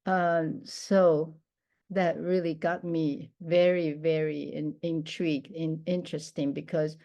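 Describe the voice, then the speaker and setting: A middle-aged woman from Taiwan speaks English, her voice low and mellow. She talks slowly and haltingly, full of pauses, fillers and restarts, as she shares a personal testimony. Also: little pitch movement. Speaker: minister.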